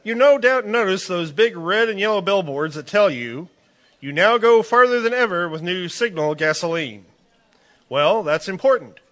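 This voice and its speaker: A man's voice: high-pitched, animated, normal speed, fairly bright tone. A man playing a 1940s radio announcer, reading a commercial in an upbeat, salesy manner.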